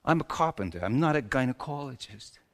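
A man playing Joseph, a wry, bewildered carpenter, in a halting, colloquial and theatrically emotional dramatic monologue. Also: mid-range voice, expressive, lightly acted. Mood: hurt, subdued. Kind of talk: dramatic comic monologue in a sermon. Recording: echoey hall with long reverb.

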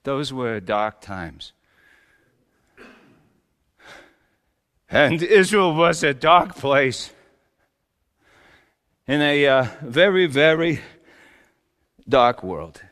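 A man playing Joseph, a wry, bewildered carpenter, in a halting, colloquial and theatrically emotional dramatic monologue. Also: medium pitch, expressive, a bit bright. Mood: wistful. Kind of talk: dramatic comic monologue in a sermon. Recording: echoey hall with long reverb.

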